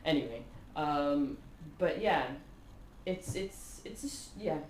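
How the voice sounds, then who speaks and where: A woman in her thirties from Kuwait, a native Arabic speaker, talks as a podcast guest in a lowish voice. She tells stories animatedly, with filler words and frequent laughter. The sound is roomy and echoey, with a faint hiss behind. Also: slow. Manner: animated storyteller, laughs often, many fillers. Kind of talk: casual conversation. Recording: echoey room with faint noise.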